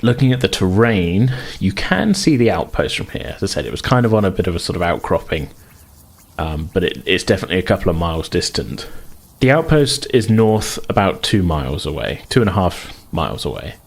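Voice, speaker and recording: A deep-voiced man acts as game master for a tabletop role-playing session. He builds his descriptions on the fly, often doubling back to rephrase them in a halting, conversational way. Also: big pitch swings, gravelly, breathy edge.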